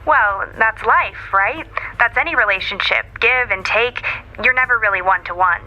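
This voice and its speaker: A woman's voice: on the high side, moderate pace, sharp tone, muffled recording. A woman playing a friendly, wry TV writer, chatting as an interview guest over a thin video-call line. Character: friendly, wry female TV writer calling in remotely. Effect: thin video-call filter. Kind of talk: conversational remote interview.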